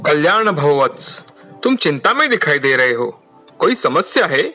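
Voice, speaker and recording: A man voicing a kindly holy hermit, solemn and formal, in an animated bedtime fable. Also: a little high, normal speed, soft background music, heavily acted. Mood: solemn blessing. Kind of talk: Hindi animated moral story dialogue.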